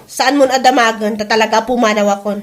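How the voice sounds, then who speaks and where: A woman's voice: a little high, steady tone, brisk, close to an everyday voice. A woman acting as a discontented young wife in a comedy drama, complaining insistently to press her husband. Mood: indignant.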